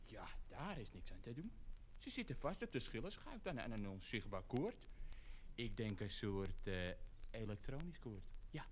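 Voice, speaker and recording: A man's voice: on the low side, sing-song, moderate pace, slight background noise. A man playing a modest, folksy street peel collector in a children's musical story, speaking a little hesitantly. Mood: resigned. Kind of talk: children's musical radio-play acting.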